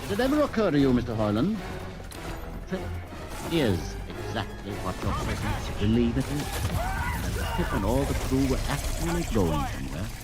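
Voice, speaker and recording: An actor playing a commanding, learned rebel leader on a starship, measured and superior, in an old-time radio drama. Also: male voice, mid-range voice, wildly swooping pitch. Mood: insistent, probing. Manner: theatrical, measured, superior and persuasive. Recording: old radio broadcast with loud music.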